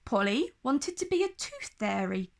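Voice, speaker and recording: A woman reading a children's storybook aloud in an expressive, deliberate storytelling manner. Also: high-pitched, creaky, a little hiss or hum. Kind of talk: scripted storybook narration read aloud.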